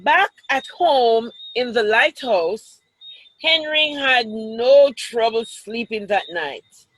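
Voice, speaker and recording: A woman reads a picture book aloud to children in a deliberate way, pausing to point things out and ask questions. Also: a little high, lively intonation, fairly bright tone, no room sound, low-quality recording. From Jamaica. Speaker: storytime reader, teacher.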